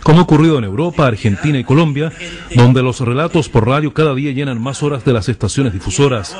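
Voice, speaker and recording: Chilean male news narrator, medium pitch. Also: fast talker, a little mellow. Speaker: news reporter.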